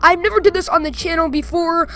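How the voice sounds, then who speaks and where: A woman's voice: very high-pitched, quick pace, sharp tone, a little hiss or hum. A young woman giving a casual spoken introduction as the host of a video channel.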